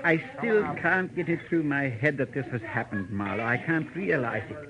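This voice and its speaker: An actor playing a stunned, grieving husband in disbelief in a 1940s radio drama. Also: male voice, mid-range voice, animated, moderate pace, natural voice. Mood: dazed disbelief. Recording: dull old radio recording with crowd murmur.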